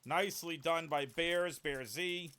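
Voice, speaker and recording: Excitable male esports caster, bright voice. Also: measured pace, clean tone. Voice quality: deep voice. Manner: excitable, builds to shouted peaks on big plays, chats with viewers. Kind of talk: solo play-by-play esports commentary.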